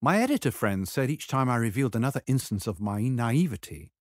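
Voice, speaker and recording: A man reading a scripted memoir as a wry, measured storyteller. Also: mid-range voice, very animated, faint music behind. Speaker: memoir reader.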